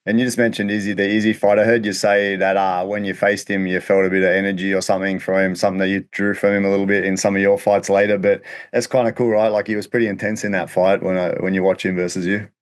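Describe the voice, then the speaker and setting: A man's voice: low voice, level tone, fast, few pauses. A man interviewing in a casual, matey, slangy way, like a chat between friends.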